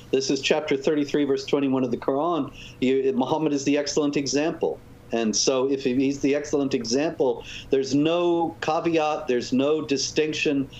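A man, a guest author, explaining points in a measured way during a talk show interview. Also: medium pitch, darkish tone. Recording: dull lo-fi audio with faint noise.

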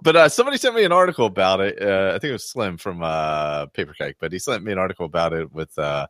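A man with a fairly low voice chats casually on a podcast panel. His intonation swings widely, and the sound is close and dry. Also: expressive, fast, fairly bright tone, no room sound. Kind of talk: casual chat.

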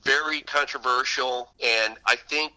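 Bright, forceful man telling stories. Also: medium pitch, fairly even intonation, measured pace, low-quality recording. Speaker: author being interviewed. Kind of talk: conversational radio interview, anecdotal storytelling.

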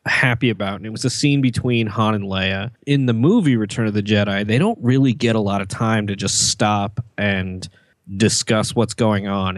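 A man's voice: on the low side, dry recording. A man hosting a casual podcast discussion among fans.

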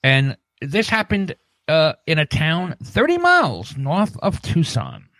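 A man co-hosting a casual comedy show, haltingly reading out the news and repeating himself. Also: a little high, huge pitch swings, fairly bright tone, no room sound.

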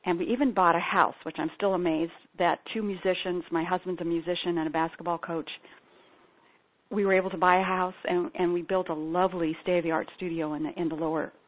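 A middle-aged woman, a spiritual practitioner and singer-songwriter, telling her personal story in an earnest, heartfelt way. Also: on the low side. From Ethiopia. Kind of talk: personal testimony talk. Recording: tinny phone-like sound.

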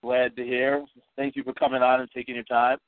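A man with a stuffy, congested voice hosting a casual call-in podcast, talking in halting bursts full of fillers and restarts. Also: mid-range voice, level tone, a little hiss or hum. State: stuffy from allergies and a cold. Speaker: podcast host. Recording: tinny dry phone line.

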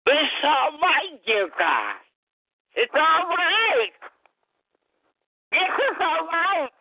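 Woman, phone-quality audio, fervent prayer, slow pace, pleading, repetitive, emotional. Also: high voice, big pitch swings, no room sound. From New Orleans, USA. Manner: emotional, pleading, repeating phrases. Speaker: worshipper giving a personal prayer testimony. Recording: tinny narrow-band phone line.

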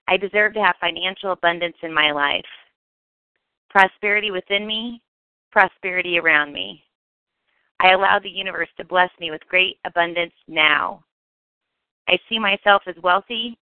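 Female meditation narrator, smooth and clean-voiced, delivering affirmations in deliberate phrases; thin, narrow-band audio. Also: fairly low pitch, dry recording. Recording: tinny phone-like narrow band, dry.

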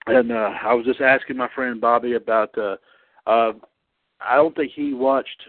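A man in his thirties hosting a casual online radio show about wrestling. He talks haltingly, with many fillers and restarts. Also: medium pitch, steady tone, no echo. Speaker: podcast host. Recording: tinny phone-line sound, dry.

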